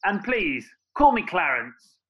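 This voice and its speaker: A British man playing a courtly, softhearted country squire in a theatrical radio pantomime. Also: high voice, sing-song, slow pace, dull audio, heavily acted. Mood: earnest, smitten. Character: country squire, pompous gentleman suitor turned softhearted.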